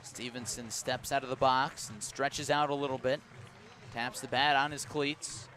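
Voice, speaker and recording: A man's voice: medium pitch, fairly even intonation, unhurried, pushed and bright. A man doing live baseball play-by-play on the radio in clipped, descriptive phrases that build with the action, outdoors at a ballpark. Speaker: play-by-play sports announcer.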